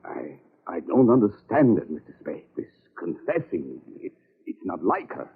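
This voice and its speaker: An actor playing a weary, bewildered doctor in 1940s radio drama, hesitant and troubled. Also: male voice, sits low, sing-song, normal speed, gravelly, narrow, tinny audio, full character voice. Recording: noisy band-limited old radio recording.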